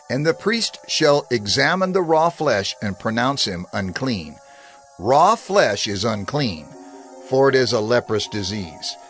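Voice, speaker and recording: A man narrating scripture in a solemn, measured reading style, with phrases that rise and fall. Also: mid-range voice, a bit bright. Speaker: Bible narrator.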